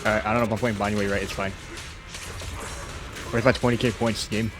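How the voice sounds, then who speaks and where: A man's voice: lowish voice, level tone, quick pace. A man streaming a game, giving casual live commentary with joking, offhand reactions. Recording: streamer voice over game music.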